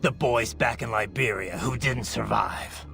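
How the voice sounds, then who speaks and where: A man voicing a brooding, bloodthirsty cyborg soldier in a video game, with a menacing gravelly growl and intense, clipped phrases. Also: fairly low pitch, unhurried, bright, forceful tone, full character voice. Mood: defiant. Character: brooding, bloodthirsty male cyborg soldier, haunted former child soldier. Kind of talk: dramatic video game voice acting. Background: music.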